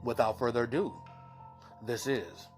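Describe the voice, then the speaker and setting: Very slow male horror-radio host over music. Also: mid-range voice. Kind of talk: scripted show introduction.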